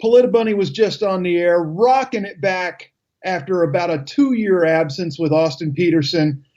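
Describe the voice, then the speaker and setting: A man's voice: sits high, normal speed, a little mellow, muffled recording. A man hosting a live radio talk show in a casual, chatty style.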